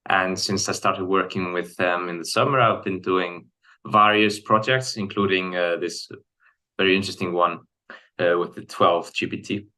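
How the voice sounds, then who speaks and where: A young man from Iceland introduces himself over a video call, his voice low yet bright and crisp. He pauses on hesitant "uh"s between short phrases. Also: steady tone. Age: 20s. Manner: brief, with hesitant fillers. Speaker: team member introducing himself. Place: room with window.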